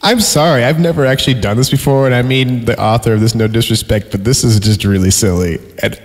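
A man's voice: mid-range voice, a little fast. A man hosting a horror-story podcast, reading in a mock-dramatic style and given to sarcastic comic asides. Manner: sarcastic, breaking off from narration into giggly asides. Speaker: podcast host reading creepypasta. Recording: reverberant voice over background music. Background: music.

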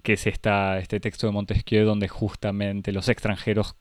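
Low, even-toned man analyzing a film. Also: no echo. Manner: measured, analytical, light self-correction. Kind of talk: casual film discussion.

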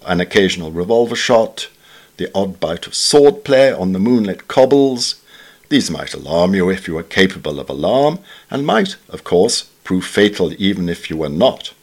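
A British man reading a scripted literary essay in a formal, measured, wryly understated way. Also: low voice, big pitch swings. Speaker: reader of newspaper essays.

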